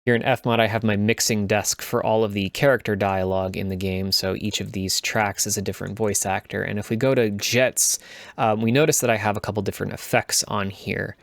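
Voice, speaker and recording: A man presenting a casual tutorial as a game sound designer, explaining things in a measured way. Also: fairly low pitch, no echo.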